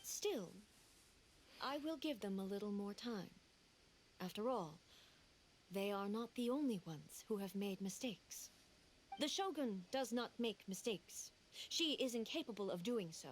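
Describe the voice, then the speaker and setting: A woman's voice: medium pitch, lively intonation, slight background noise, close to an everyday voice. A woman voicing a regal, solemn goddess. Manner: measured, formal, dignified. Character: composed regal female deity, grave and formal. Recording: game audio with music behind.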